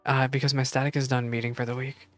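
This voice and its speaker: Low-voiced woman streaming casually. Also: flat delivery, quick pace, faint music behind. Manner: rambling, playful, gasping reactions. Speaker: streamer reacting to a game. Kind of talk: casual live playthrough commentary.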